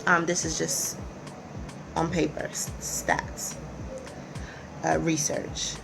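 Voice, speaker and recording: A woman's voice: low-pitched, level tone, takes it slowly, creaky, music in the background, low-quality recording. An American-born woman presenting for a real estate company, talking casually to camera with many fillers and restarts while working from notes. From United States. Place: in Nigeria.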